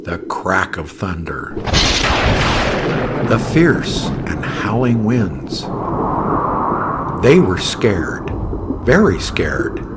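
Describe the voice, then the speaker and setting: A man reading a scripted children's story as narrator, in a dramatic, deliberate way. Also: low-pitched, big pitch swings, very noisy.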